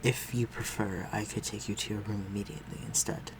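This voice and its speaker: Over a clearly audible bed of ambient noise, a man acts out a courtly vampire lord in an audio drama. His voice sits low, and he speaks in formal, old-fashioned phrases with a gentle, protective care for the human he addresses. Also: steady tone, a little fast, natural voice. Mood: gentle, attentive. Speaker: voice actor. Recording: clearly noisy, ambient sound behind voice.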